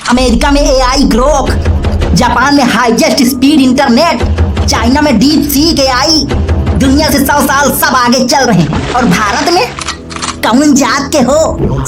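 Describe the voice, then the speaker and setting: A man voicing an opinionated, exasperated male friend in a comedy cartoon, grumbling and ranting in earnest. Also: very high voice. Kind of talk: Hindi comedy cartoon dialogue.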